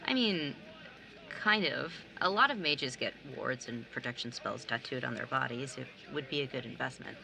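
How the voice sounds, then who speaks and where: A woman's voice: very deep voice, animated, moderate pace, gravelly, pushed and bright, slight background noise, exaggerated voice, poor audio. A young woman playing a sarcastic, blunt nineteen-year-old younger sibling in a naturalistic audio drama. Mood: teasing. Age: young adult. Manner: sardonic, blunt, casual.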